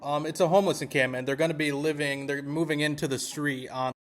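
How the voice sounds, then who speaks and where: A man playing an earnest, mild-mannered association representative on a phone call, speaking politely and hesitantly with a deadpan delivery. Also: on the high side, fast, close to an everyday voice. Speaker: prank caller posing as an activist group representative. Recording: noisy phone-call recording.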